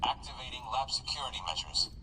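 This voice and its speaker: An actor voicing an automated lab announcement system in a game, giving formal warnings and a countdown. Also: male voice, medium pitch, lively intonation, fast talker, natural voice, rough recording. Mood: announcing.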